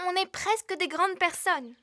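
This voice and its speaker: Cartoon bear girl: childlike, assured, very high, dry close sound. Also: moderate pace, pushed and bright, no echo. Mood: self-assured. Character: self-assured older little girl bear. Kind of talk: French children's cartoon dubbing.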